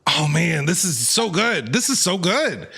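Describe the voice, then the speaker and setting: Animated high-pitched man streaming a game. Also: a little slow, gravelly. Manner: animated, jokey, reactive. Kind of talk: casual live gameplay commentary. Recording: game music behind.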